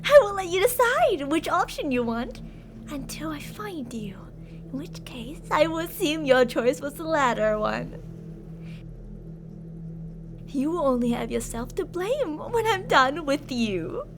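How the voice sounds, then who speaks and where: A woman's voice: sits high, very animated, unhurried, noisy background, heavily acted. A woman playing an obsessive yandere captor in an ASMR roleplay, sugary with endearments yet menacing and theatrical. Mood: coaxing, threatening.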